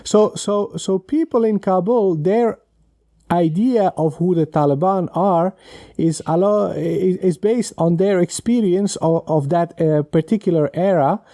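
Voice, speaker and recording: Male podcast panelist, mellow and warm, very dry sound, deliberate halting storyteller. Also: high voice, dry recording. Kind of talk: explanatory storytelling.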